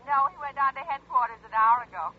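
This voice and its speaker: Actress as a bantering young wife. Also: female voice, high voice, lightly acted. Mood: teasing on phone. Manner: brisk, bantering. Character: chatty teasing young wife, detective's spouse. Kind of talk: 1940s radio acting. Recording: tinny phone line in scratchy old radio broadcast.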